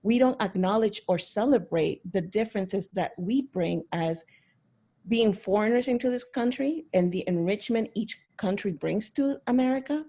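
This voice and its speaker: Mellow, husky-voiced woman on a panel. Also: medium pitch, animated, normal speed. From Caribbean, raised in Miami. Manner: earnest, reflective, personal. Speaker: panel participant. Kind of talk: discussion remarks.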